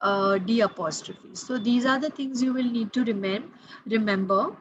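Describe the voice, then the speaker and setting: A woman's voice: on the high side, normal speed, lo-fi sound, a little hiss or hum. A woman, teaching French grammar patiently, repeating key points and spelling out letters. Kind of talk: explaining grammar to students.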